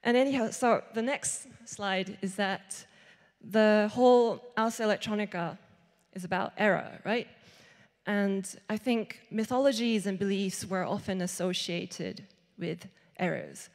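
A woman's voice: mid-range voice, a little slow. A young woman from Japan, an artist giving a conference talk into a handheld microphone, chatty, with many "like"s and restarts. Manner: chatty, lots of likes and restarts. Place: large hall with seated audience. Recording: long hall reverb.

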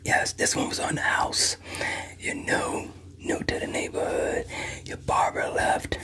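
Casting: mature man, breathy whisper with a crisp bright edge, reassuring ASMR barber chatting to his client. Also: a little slow. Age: 50s or older. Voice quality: breathy, mostly unvoiced whisper. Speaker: ASMR creator role-playing a barber. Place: small room at home, indoors.

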